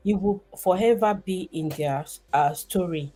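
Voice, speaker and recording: Chatty Nigerian woman, low voice. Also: a little slow, mellow, faint music behind. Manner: chatty, rambling, with many fillers and restarts. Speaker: livestream host. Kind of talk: casual livestream commentary.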